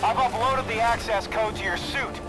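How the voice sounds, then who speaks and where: A man's voice: sits high, normal speed, natural voice. A man voicing an easygoing, loyal military pilot talking over the radio in a shooter game, casual and confident. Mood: upbeat, instructing.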